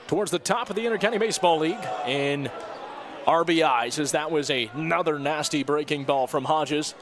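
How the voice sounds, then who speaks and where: Young guy calling a ball game outdoors, slightly high voice, chatty, gets loud on strikeouts. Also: moderate pace, noisy background. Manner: upbeat, chatty, rises sharply on strikeouts. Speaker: play-by-play broadcaster. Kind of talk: live baseball commentary. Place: outdoor ballpark.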